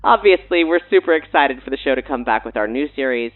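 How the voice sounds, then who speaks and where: A woman's voice: a bass voice, expressive, fast, narrow, tinny audio. A woman with a breezy, pushy, playful manner, giving casual promotional banter as a guest on a mock radio show. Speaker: guest showrunner and writer.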